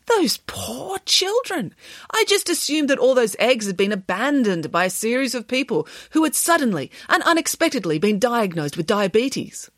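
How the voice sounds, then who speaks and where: A woman's voice: on the high side, big pitch swings, moderate pace, dry recording, clean studio sound, voice pushed up. A woman voicing a cheerful, oblivious, greedy pig nanny who exclaims and asks puzzled questions in a storybook. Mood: breezy.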